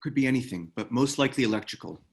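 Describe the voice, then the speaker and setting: An actor at a table read playing a gruff, weary ex-military man who talks tersely and gives orders. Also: mid-range voice, expressive, rapid-fire. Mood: matter-of-fact. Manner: terse, commanding. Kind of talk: screenplay table read acting.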